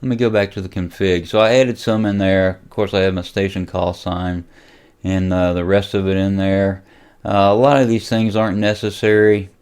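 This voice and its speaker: A man with a deep, smooth voice presents an informal software tutorial. He speaks off the cuff, hesitating and thinking aloud as he moves from step to step. The tone is that of a hobbyist host explaining a setup. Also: level tone, moderate pace. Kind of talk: casual software walkthrough.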